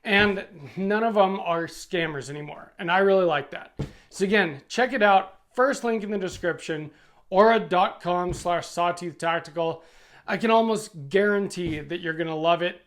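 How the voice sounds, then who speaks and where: A middle-aged American man with a noticeably high voice for a male speaker. He talks in a plain-spoken, conversational way, like a video host casually walking viewers through a product. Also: moderate pace, a bit bright. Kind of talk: casual product review talking to camera.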